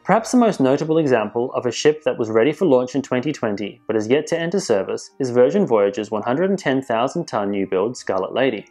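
A man presenting cruise industry news in measured, scripted voice-over narration. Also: medium pitch, lively intonation, a little mellow, faint music behind. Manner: measured, informative delivery. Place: voice-over, not in pictured places.

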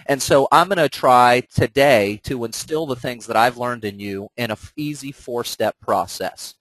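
A man's voice: mid-range voice, normal speed, a bit bright, no room sound, low-quality recording. A man with a stuffed-up nose from a cold, presenting a training webinar in an earnest, conversational way, speaking directly to his listeners.

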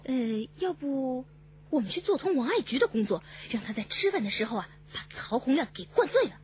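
An actress playing an eager, excitable young police detective in a radio crime drama. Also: female voice, high voice, brisk, dull audio, a little hiss or hum.

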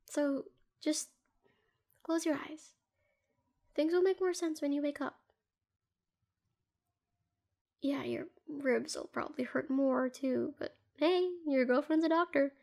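A woman playing a caring doctor girlfriend in an ASMR roleplay, speaking in a soft, hushed half-whisper with a slow, tender, soothing manner. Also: high voice, vocal fry, heavily acted. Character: caring young woman doctor comforting her partner.

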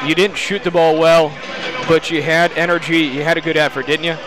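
A man's voice: on the high side, steady tone, brisk, poor audio. A man hosting a postgame interview as a sports broadcaster, asking upbeat leading questions.

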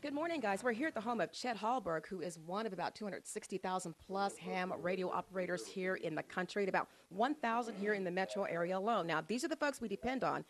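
A woman's voice: medium pitch, quick pace, hardly any pauses. Woman field reporter, live local TV, upbeat and chatty, handheld mic on location. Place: outdoors at a home and in a parked truck. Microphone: handheld station mic.

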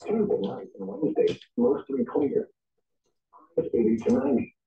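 A man's voice: very high voice, sing-song, moderate pace, gravelly, mellow, distant mic. A synthesized man's voice reading a scripted weather forecast over the radio in a formal, impersonal, clipped manner. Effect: synthesized voice played over a weather radio. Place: radio playing in a home room, picked up from a distance. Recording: tinny band-limited radio, picked up from across a room.

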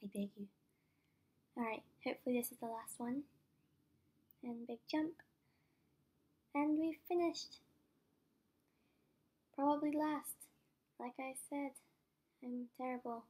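A young woman with a cold and a croaky voice, chatting casually and mocking herself while playing a game as host of her channel, sometimes dropping to a whisper. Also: high voice, slow, pauses often, mellow.

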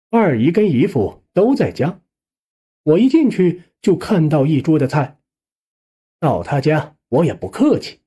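A man narrating a scripted first-person suspense audiobook in a conversational, expressive way. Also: on the high side, husky.

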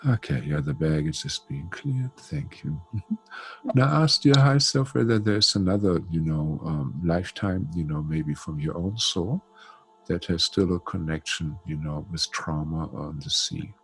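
Older male healer, deep gravelly voice, rambling fillers, headset call. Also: a little slow, faint music behind. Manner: chatty, warm-toned, many "you know" fillers. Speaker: spiritual healer. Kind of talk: guided spiritual session. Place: home room. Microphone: headset on video call.

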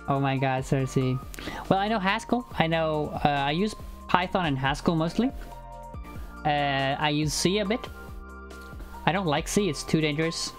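A young man whose first language is Icelandic, live-streaming programming and talking it through with many fillers and restarts, on a headset mic at home. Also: on the high side. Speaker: programming livestreamer. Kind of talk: casual live coding chat. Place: home room, indoors.

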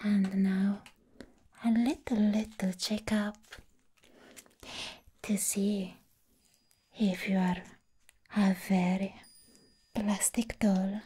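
A young woman performing a hushed, whispered ASMR roleplay as a sweetly possessive living doll, pausing deliberately, close to the microphone. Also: mid-range voice, level tone. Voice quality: breathy whisper. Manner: slow, hushed, deliberate, with long pauses.

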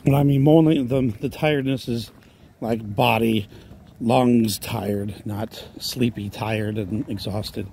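Hoarse man vlogging on a street walk. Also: mid-range voice, fairly even intonation, slow pace, mellow, slight background noise. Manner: rambling, plain-spoken, coughing and sighing. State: out of breath. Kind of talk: casual walking vlog. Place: residential street outdoors. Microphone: handheld phone while walking.

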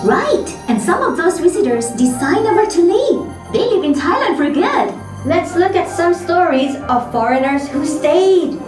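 A woman's voice: very high voice, very animated, normal speed. A woman presenting a scripted pavilion show in an upbeat announcing manner. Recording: echoey hall from far off, loud music.

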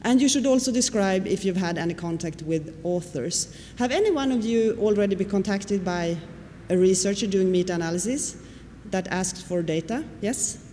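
A woman whose first language is Swedish, giving a conference lecture and often pausing on fillers. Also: medium pitch, mellow. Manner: explanatory, with frequent fillers and questions to the audience. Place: lecture hall. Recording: reverberant hall with faint noise.

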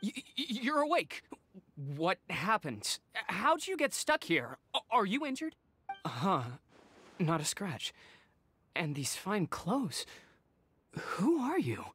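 A man's voice: high voice, wildly swooping pitch, slow, pushed and bright, a little hiss or hum, exaggerated voice. A man voicing a kind, loyal young samurai deputy in a video game, earnest and stammering when startled. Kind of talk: game voice acting.

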